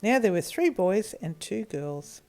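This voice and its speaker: A woman's voice: medium pitch, animated, measured pace, dark tone. A woman tells a story for children with a lot of melodic movement in her voice. She takes her time, reading expressively over a music bed.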